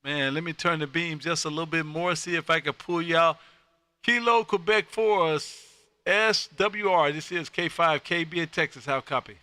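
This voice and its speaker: A man hosting an amateur radio livestream, chatting casually with a loud, folksy showman's manner. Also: a little high, normal speed, slight background noise. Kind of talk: casual radio contact chat. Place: home radio room.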